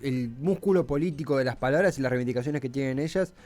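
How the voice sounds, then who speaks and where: An Argentine man hosting a radio show informally, with florid, halting phrasing and roundabout introductions. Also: mid-range voice, a little mellow. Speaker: radio host.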